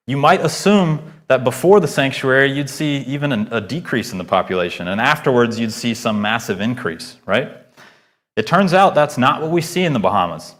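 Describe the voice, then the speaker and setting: A man's voice: mid-range voice, a bit bright. A man in his thirties giving an earnest, emphatic research presentation to a live audience, speaking into a microphone. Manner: earnest, emphatic lecturing with rising stresses. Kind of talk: conference talk with Q&A. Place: lecture hall before an audience.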